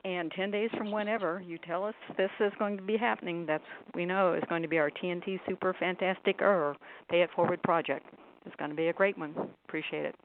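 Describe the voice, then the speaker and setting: A woman's voice: on the low side, fairly even intonation, brisk. A woman calling in to a conference call, asking questions in a polite, chatty way. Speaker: caller. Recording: dull noisy phone line.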